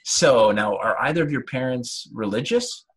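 A man's voice: medium pitch, expressive, normal speed. A man hosting a casual interview, dropping dry teasing jokes and short agreeing replies. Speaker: podcast host and stand-up comedian.

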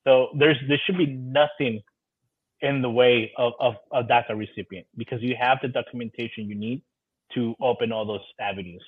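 Husky man in his thirties, phone-like sound. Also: medium pitch, steady tone, moderate pace. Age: 30s. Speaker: podcast guest. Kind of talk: casual conversation, giving encouraging advice.

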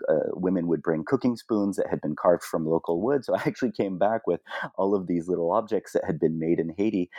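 Man, interviewee; very deep and dark, dry recording, thoughtful with many ums. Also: no echo. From Quebec. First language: French. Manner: measured, with frequent ums and restarts. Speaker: author guest.

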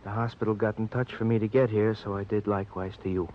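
A solemn, caring city doctor, acted by a man, speaking fairly low and measured with quaintly turned phrasing. It is old radio drama with a muffled sound. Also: fairly even intonation, a little hiss or hum, lightly acted. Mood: grave, concerned.

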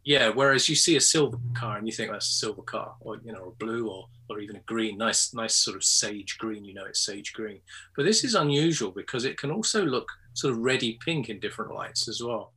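Husky bright older English photographer. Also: male voice, fairly low pitch, lively intonation, fairly quick, poor audio. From England. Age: 60s. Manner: hesitant, many ums and restarts. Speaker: photographer and artist guest. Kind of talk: casual interview chat.

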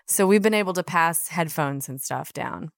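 A woman's voice: deep voice, animated, moderate pace, creaky, no room sound, studio quality. A woman in casual conversation, telling anecdotes in slangy language and quoting both sides of exchanges. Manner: slangy, retells exchanges in quoted dialogue. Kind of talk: casual chat, animated anecdotes.

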